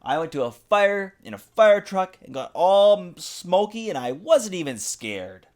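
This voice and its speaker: A man's voice: high-pitched, very animated, measured pace, a little mellow, voice pushed up. A man voicing a bold, eager, pushy little girl in a children's storybook, insistent and exclamatory. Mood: proud. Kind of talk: acted children's storybook reading.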